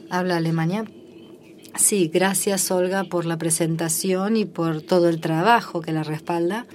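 A woman working as a simultaneous interpreter at a formal meeting, relaying each speaker in the first person and pausing often with filler sounds. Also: lowish voice, steady tone, a little mellow, a little hiss or hum.

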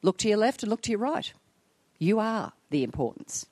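A woman's voice: medium pitch, very animated, normal speed, a little mellow, no room sound. An older Australian woman giving a conference address, wry and emphatic, with self-mocking jokes. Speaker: conference speaker. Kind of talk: public address.

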